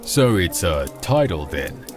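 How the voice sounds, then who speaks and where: Casting: man as mild young fantasy adventurer, deep but animated, polite, curious, clear music behind. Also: takes it slowly, exaggerated voice. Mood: inquisitive. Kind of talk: visual novel character acting. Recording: voice under prominent music.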